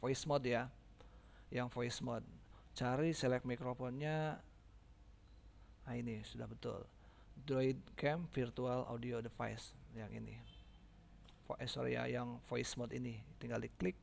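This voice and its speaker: A man giving a casual, informal step-by-step software tutorial, often checking in with his listeners. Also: medium pitch, fairly even intonation, measured pace, vocal fry, long pauses.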